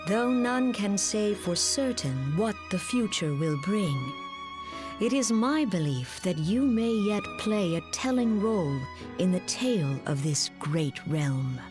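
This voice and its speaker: An actress playing a serene, wise mystic leader in a fantasy game, speaking formally and gravely with measured, archaic diction. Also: female voice, medium pitch, very animated, music in the background. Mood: gentle, assured. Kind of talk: scripted fantasy game cutscene acting.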